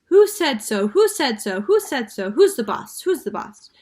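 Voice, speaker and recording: A woman's voice: very animated, a little slow, a little mellow. A woman voicing a rude, bossy pet parrot that squawks and repeats its taunts. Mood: squawking taunts. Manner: shrill, repeats taunts over and over. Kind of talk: storybook read-aloud.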